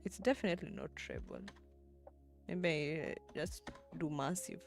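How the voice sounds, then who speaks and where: A young woman in her twenties, live-streaming as she edits and chatting with viewers, thinking aloud with soft laughs. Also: deep voice, huge pitch swings, normal speed, gravelly, soft background music. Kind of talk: livestream, talking to chat while editing.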